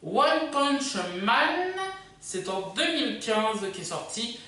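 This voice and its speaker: A woman hosting a casual countdown video, presenting in a hesitant, drawn-out way. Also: on the high side, big pitch swings, fairly bright tone. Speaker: video host. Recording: very echoey, distant from microphone.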